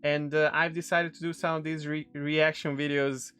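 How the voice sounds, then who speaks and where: A man's voice: on the high side, flat delivery, moderate pace, a bit bright, clean tone, soft background music. Serbian man in his thirties chatting. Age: 30s. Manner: rambling, friendly, many ums and restarts. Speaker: streamer reacting to a video. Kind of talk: casual reaction commentary.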